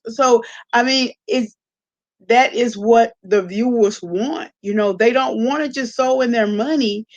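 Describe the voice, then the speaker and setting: Woman, slightly high pitch, casual livestream host, slangy, conversational, informal. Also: highs cut off. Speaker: live-stream host reacting to online gossip. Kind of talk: casual livestream chat with viewers.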